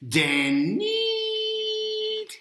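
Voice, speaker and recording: A man voicing an eager, simple-minded bear from a picture book, speaking in short, blunt, drawn-out exclamations. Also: very high-pitched, huge pitch swings, very noisy, pitched above the performer's own voice, exaggerated voice. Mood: eager exclaiming. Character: eager, simple-spoken male bear proudly building his home. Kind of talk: picture-book character read aloud. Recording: roomy, echoing sound.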